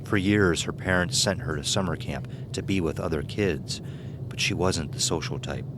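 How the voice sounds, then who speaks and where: A man's voice: sits low, moderate pace, noisy background. A man narrating and announcing from a script for an audio drama. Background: music.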